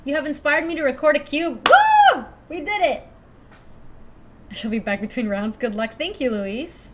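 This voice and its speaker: A young woman from Ecuador chatting casually through a card game stream, thinking out loud and laughing often. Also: high-pitched, sing-song, moderate pace, heavy background noise. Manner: chatty, giggly, thinks aloud to chat. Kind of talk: casual live-stream commentary.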